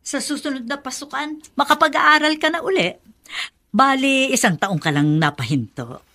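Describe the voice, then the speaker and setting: A woman's voice: high voice, expressive, moderate pace, sharp tone, full character voice. A woman playing a kindly, practical older Filipino aunt in a radio drama. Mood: practical, encouraging.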